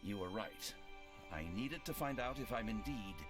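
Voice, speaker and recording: An actor playing an earnest, idealistic fantasy lord in a video game, speaking formally and solemnly. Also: male voice, on the low side, natural voice. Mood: resolute, earnest. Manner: formal, solemn, measured diction. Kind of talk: video game cutscene acting. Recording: dramatic music behind.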